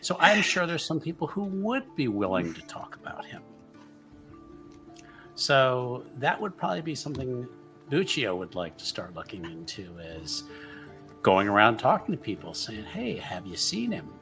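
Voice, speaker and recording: A man's voice: mid-range voice, wildly swooping pitch, moderate pace, bright, forceful tone, soft background music. A man playing a tabletop roleplaying game, chatting casually and repeating himself as he improvises his plans.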